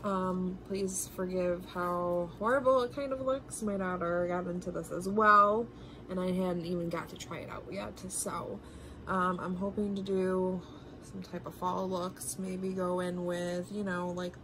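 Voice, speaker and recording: A woman in her thirties with a lower voice talks through her makeup routine, a little nasal and congested, full of ums and small apologies. She speaks close to a phone in her bedroom. A steady hiss sits under the recording. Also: a little slow, low-quality recording. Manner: chatty, rambling, many ums, apologetic asides. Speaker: beauty vlogger. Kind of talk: casual makeup tutorial. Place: bedroom at home, indoors. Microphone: phone camera held close.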